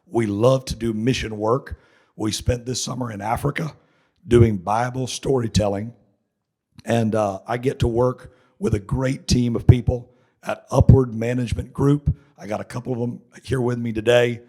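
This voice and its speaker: A middle-aged man from North Carolina, giving a joking, chatty seminar talk with a raspy voice hoarse from laryngitis. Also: medium pitch, fairly even intonation, moderate pace, dark tone. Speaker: seminar speaker. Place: conference hall. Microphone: handheld mic.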